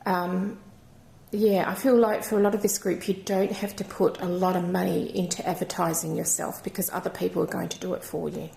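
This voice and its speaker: Croaky, gravelly-voiced woman giving a reading. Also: on the low side, flat delivery, a little fast, a little hiss or hum. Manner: gentle, rambling, reassuring. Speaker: intuitive card reader. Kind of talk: channelled crystal reading to camera. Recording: echoey room.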